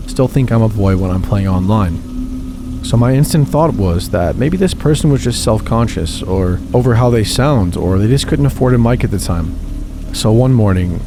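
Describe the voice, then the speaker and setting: A man narrating first-person gaming horror stories in a steady, measured reading. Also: on the low side, noisy background. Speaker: channel host reading submitted stories. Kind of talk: scripted horror story narration.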